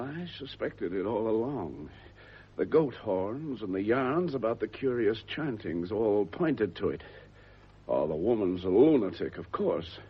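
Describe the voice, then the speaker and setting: Animated English gentleman investigator. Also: mid-range voice, husky, highs cut off. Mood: assured. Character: authoritative, excitable educated English gentleman investigator. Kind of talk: radio drama acting.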